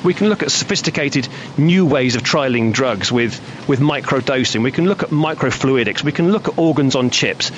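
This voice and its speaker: A man speaking as a radio guest, firm and measured, listing his points one by one. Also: medium pitch, animated, lo-fi sound, a little hiss or hum.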